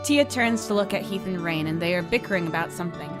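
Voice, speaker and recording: A woman's voice: fairly low pitch, fast talker. A woman reading scripted story narration as the narrator. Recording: music behind the voice. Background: music.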